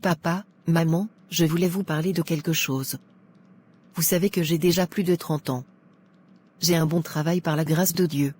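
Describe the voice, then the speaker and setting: A woman's voice: sits low, fairly even intonation, moderate pace, a little mellow, slight background noise, lightly acted. A woman playing a polite, patient single woman in her thirties, courteous in manner, in a cartoon. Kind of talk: cartoon voice acting.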